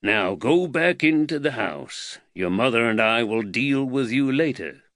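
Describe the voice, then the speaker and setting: A man voicing a courteous, calm husband with an American drawl and clear diction in a storybook. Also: medium pitch, unhurried, no room sound, clean studio sound. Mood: quietly firm. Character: calm, courteous, slight bespectacled foreign husband.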